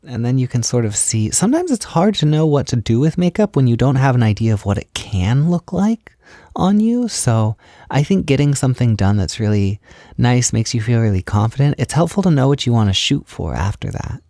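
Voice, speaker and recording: A man playing a gentle, reassuring partner in an intimate ASMR-style roleplay, soft-spoken and soothing, with casual phrasing that often slips toward a whisper. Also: mid-range voice, sing-song, fairly quick, no room sound, clean studio sound. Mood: chatty, encouraging. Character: gentle, reassuring male partner doing a beginner's makeup.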